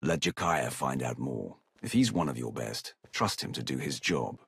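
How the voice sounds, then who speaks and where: A dark sith warrior for a game, gravelly, curt and bossy, swingy pitch. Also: male voice, very animated, normal speed, heavily acted. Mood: stern. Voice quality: gravelly, husky. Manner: curt, commanding. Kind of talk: video game cutscene acting.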